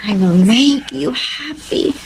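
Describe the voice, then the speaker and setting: A Filipino actress playing a scheming, seductive woman in a radio drama, with drawn-out theatrical delivery. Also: sits high, expressive, creaky, slight background noise, full character voice. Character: scheming seductive woman pursuing a married man, then pleading.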